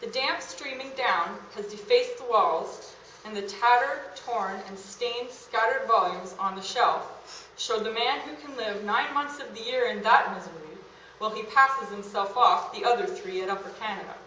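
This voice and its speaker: A woman giving a measured, formal dramatic reading of a historical account to an audience. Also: fairly high pitch, pushed and bright. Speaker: costumed dramatic reader. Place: meeting room in a historic house, before an audience. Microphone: camera a short way off. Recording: echoey room, faint noise.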